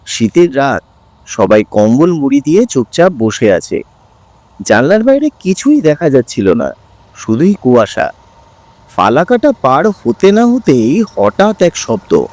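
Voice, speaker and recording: A man narrating a scripted first-person horror story, dramatic and suspenseful, in deliberate phrases broken by pauses. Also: mid-range voice, wildly swooping pitch, a little mellow, no room sound.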